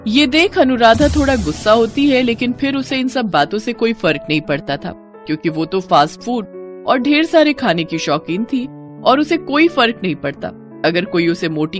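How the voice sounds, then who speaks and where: A woman narrating a scripted animated moral story as storyteller, in an expressive, dramatized way. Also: medium pitch.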